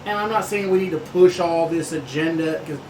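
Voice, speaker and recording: Calm man in an echoey room. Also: a little high, brisk, background noise. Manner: calm, reasonable, reads news aloud. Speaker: podcast co-host. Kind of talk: casual sports chat.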